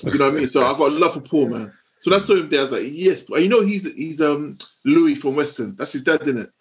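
Guy talking fast with his mates, rambly, lotta "you know". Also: on the high side, lively intonation, slight background noise, poor audio. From West London. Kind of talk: casual group chat.

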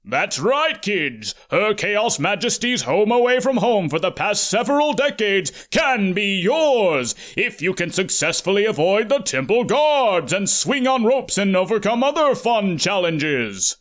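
A man voicing a giant temple idol as a booming, bombastic game-show announcer in an audiobook. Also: very animated, fairly bright tone, exaggerated voice. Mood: grandly commanding. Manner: bombastic, drawn-out proclamations.

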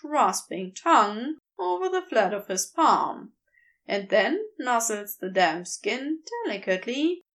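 Woman, audiobook-style narrator, very slow and deliberate, lively rising and falling pitch, fairly high voice, expressive storytelling. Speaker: podfic reader.

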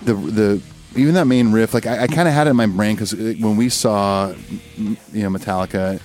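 Easygoing low-pitched male podcast co-host. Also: brisk, a little mellow, faint music behind. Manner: informal, profane, conversational. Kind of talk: casual chat about rock music.

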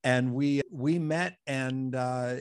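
A man's voice: medium pitch, slow pace, clean tone, dry recording, clean studio sound. A man from Colorado, a guest on a podcast, telling his story in a casual, easygoing way. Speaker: podcast guest, music producer. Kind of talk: casual interview chat.

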